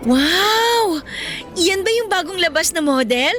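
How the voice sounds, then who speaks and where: Bright, high-voiced nervous schoolgirl. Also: lively intonation, slow pace, faint music behind, heavily acted. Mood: awkwardly friendly. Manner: giggly, hesitant, evasive. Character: nervous, fibbing teenage schoolgirl hiding her poor background. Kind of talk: radio drama acting.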